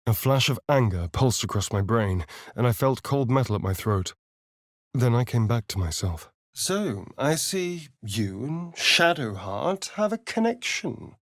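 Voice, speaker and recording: A man's voice: medium pitch, big pitch swings, unhurried, dry recording, studio quality. An actor playing a sly, haughty male vampire rogue in a video game, with an arch, drawling, sardonic delivery. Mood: hushed, dramatic. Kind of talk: video game character voice acting.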